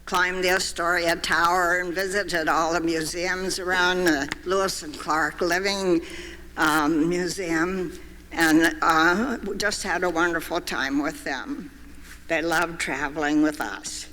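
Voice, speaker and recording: An older man delivers a memorial tribute in a measured, read-aloud way. His voice sits high and bright for a man. Long church reverb trails every phrase. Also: steady tone, slight background noise. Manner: measured, reads prepared remarks. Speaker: eulogy speaker. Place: church sanctuary.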